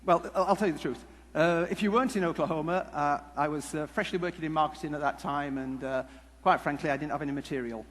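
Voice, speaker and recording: A man giving a humorous conference talk, telling stories in a jokey, halting, rambling way. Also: on the high side, fairly bright tone. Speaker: conference speaker. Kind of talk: humorous stage presentation with storytelling. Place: large conference hall before an audience. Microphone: headset mic. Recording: echoey hall PA with background noise.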